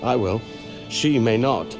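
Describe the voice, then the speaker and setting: A man's voice: medium pitch, slow pace. A wry, affectionate father. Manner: dry humor, affectionate. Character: proud, playful father at a posh event. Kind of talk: video game cutscene acting. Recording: clear music behind.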